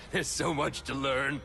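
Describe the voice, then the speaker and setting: Game villain: unhinged killer, slow, taunting, theatrical, croaky voice, music underneath. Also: male voice, medium pitch, sharp tone, full character voice. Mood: crazed, gleeful. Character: deranged male serial killer obsessed with death. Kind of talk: video game villain acting.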